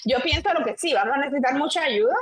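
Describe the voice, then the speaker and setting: A woman's voice: high-pitched, animated, quick pace. A Cuban woman with a Cuban accent hosting a talk show, speaking earnestly and hesitantly with many fillers. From Cuba. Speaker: host. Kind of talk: casual talk show chat.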